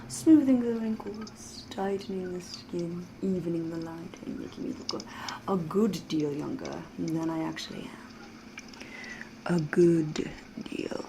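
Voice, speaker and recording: A woman acting as ancient vampire woman, ASMR roleplay, very slow, soft whispery voice, coy and ominous, noisy ambience behind. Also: on the low side. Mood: coy, flattered. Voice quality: breathy, soft, often whispering. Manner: coy, deliberate, ominous, theatrical, drawn-out phrasing.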